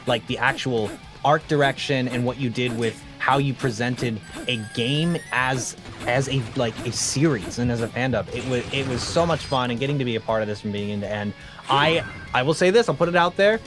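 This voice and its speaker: A man's voice: mid-range voice, a little fast. A man speaking as a guest voice actor in casual livestream chat, effusive and earnest as he talks. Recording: music playing behind the voice. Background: music.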